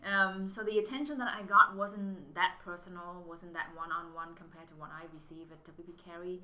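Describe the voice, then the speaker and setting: A woman's voice: lowish voice, steady tone, normal speed, sharp tone. Woman in an echoey room. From Vietnam. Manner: thoughtful, restarts often, non-native English phrasing. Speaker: MBA student guest. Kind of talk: casual interview answers. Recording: echoey room with faint noise.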